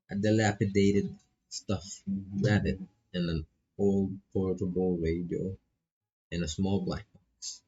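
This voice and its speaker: Male streamer for visual novel read-aloud: dark, husky tone, halting delivery with self-corrections. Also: low voice, dull audio. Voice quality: gravelly, husky. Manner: stumbles over words, repeats and corrects himself. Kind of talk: live read-aloud of narration and dialogue.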